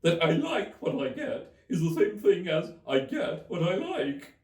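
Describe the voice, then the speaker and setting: Storybook March Hare: high, swooping, excitable and forceful, quick to argue. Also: male voice, echoey room, recorded from a distance. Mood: argumentative. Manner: loud, emphatic, argumentative. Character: blustering, excitable male March Hare, animal storybook character.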